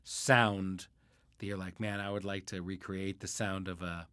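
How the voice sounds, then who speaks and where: A man's voice: low-pitched, flat delivery, unhurried, fairly bright tone, clean tone, no echo. A man hosting a casual podcast interview, informal and joking in the way he talks.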